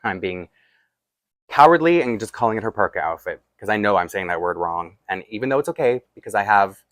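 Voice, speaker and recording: Chatty Toronto-accented man, low and dry. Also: expressive, fairly quick, a bit bright, no room sound. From Toronto, Canada. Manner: chatty and self-deprecating, rambling asides while handling a doll. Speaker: doll collector vlogger. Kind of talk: casual unboxing chat.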